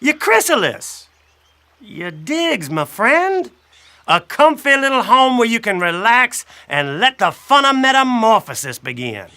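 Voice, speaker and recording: A man voicing a friendly, wry blackbird who tells a children's story in a folksy, chatty, expressive way. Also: very high voice, a little slow, smooth, heavily acted. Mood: friendly, enthusiastic. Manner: folksy, expressive, addresses listener directly. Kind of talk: animated children's audiobook storytelling.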